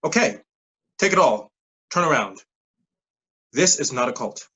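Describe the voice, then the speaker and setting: A persuasive scheme recruiter played by an actor in a staged play reading. The voice sits at a middling pitch with a slightly husky edge, pressing insistently in clipped phrases while dodging plain words. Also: big pitch swings, measured pace, a bit bright, heavily acted. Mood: insistent, earnest. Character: smooth-talking, pushy pyramid-scheme recruiter mentoring a junior coworker.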